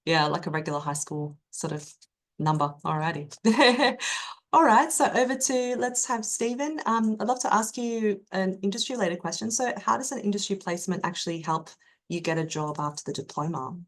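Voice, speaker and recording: A woman's voice: medium pitch, animated, moderate pace. A woman moderating an online info session, passing questions to the panel with frequent murmurs of agreement. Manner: friendly backchannel, frequent mm-hmms.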